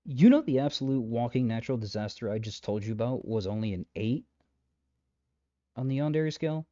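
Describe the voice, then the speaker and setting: A man's voice: mid-range voice, dark tone, dry recording, highs cut off, lightly acted. A man playing an exasperated, chatty matchmaker in a comedic roleplay audio drama, talking straight to the listener with wry, rambling asides and dry understatement. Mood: incredulous. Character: exasperated, chatty male matchmaker peddling possessive lovestruck suitors.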